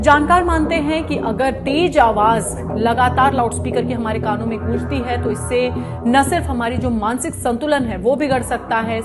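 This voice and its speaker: A woman presenting explanatory news to viewers in an emphatic way, often circling back over her points. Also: on the high side. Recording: music bed under the voice. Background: background music.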